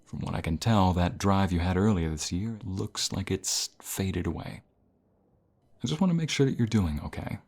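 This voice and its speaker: Guy doing a kind college professor for asmr, deep slightly breathy voice, gentle n calming. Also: moderate pace, heavily acted. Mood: caring, encouraging. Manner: calm, reassuring, gentle. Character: caring male university professor comforting a burnt-out student. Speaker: voice actor. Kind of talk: ASMR roleplay.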